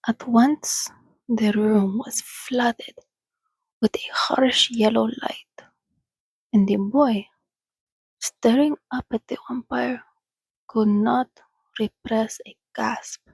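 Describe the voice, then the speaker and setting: A woman reading a story aloud in a soothing, breathy, soft-spoken ASMR style, often slipping into a whisper, close to the microphone. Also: fairly high pitch, steady tone, dry recording, poor audio. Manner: soothing, unhurried. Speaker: reader.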